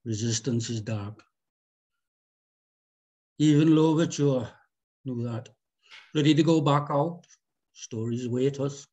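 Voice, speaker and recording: A man's voice: medium pitch, takes it slowly, long pauses, mellow. A man playing an earnest, brooding literature student and poet, in short statements at a script reading. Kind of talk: script table read.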